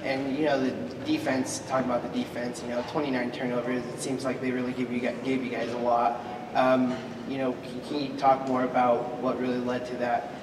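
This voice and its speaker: A man working as a reporter, asking questions haltingly with many stumbles and "you know.". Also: mid-range voice, completely flat delivery. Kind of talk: press conference questions. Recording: echoey hall, distant mic, room noise.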